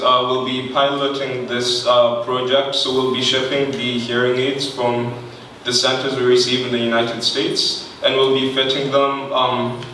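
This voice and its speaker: A young Kenyan man speaks at a podium in a big, very echoey hall, heard from a distance. His voice is bright and ringing, and he keeps an earnest, measured pace, with some "um"s along the way. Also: medium pitch, a little hiss or hum. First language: not English. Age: young adult. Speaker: student pitching an innovation. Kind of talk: prepared pitch speech. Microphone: podium microphone, recorded from far off.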